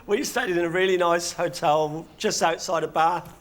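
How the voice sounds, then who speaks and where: A man's voice: high voice, steady tone, normal speed, a bit bright. A middle-aged man, a guest at a comedy roast, giving casual, good-humoured answers. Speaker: roast guest, party caterer.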